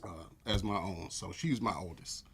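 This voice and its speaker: An American man in his forties, a church elder, telling relaxed stories with lots of fillers in a casual interview. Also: deep voice, moderate pace, breathy edge. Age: 40s. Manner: relaxed storytelling with frequent fillers.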